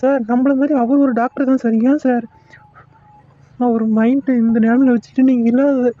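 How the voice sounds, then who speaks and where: A man's voice: very high voice, level tone, moderate pace, no room sound, phone-quality sound. A man voicing an honest junior doctor who pleads earnestly and deferentially. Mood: pleading. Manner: earnest, pleading, keeps saying sir.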